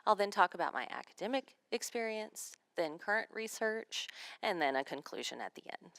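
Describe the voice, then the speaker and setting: A woman working as a sign language interpreter, voicing a signed seminar talk in measured, phrase-by-phrase delivery. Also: mid-range voice, expressive, a bit bright, no echo.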